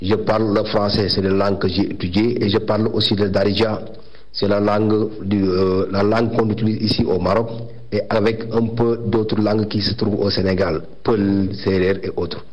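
Low-voiced man, muffled audio. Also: flat delivery, normal speed, darkish tone. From Senegal. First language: Wolof. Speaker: migrant rights activist. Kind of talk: personal introduction. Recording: muffled lo-fi recording.

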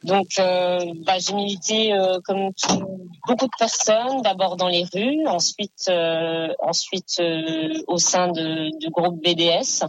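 Earnest low-voiced woman activist. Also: fairly even intonation, no echo, muffled recording. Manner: earnest, halting with many fillers. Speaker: activist guest. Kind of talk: studio radio interview. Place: radio studio.

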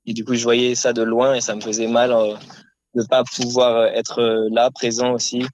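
A man giving a spontaneous personal account in an interview as an activist sailor, halting and reflective as he searches for words. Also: mid-range voice, level tone, darkish tone, a little hiss or hum.

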